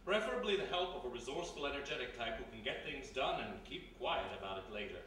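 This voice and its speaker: A man playing a courteous, wealthy, worried father with a formal, measured manner in a live radio play. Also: mid-range voice, expressive, pushed and bright. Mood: troubled. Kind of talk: live old-time radio play acting. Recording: echoey live hall, distant mic, faint noise.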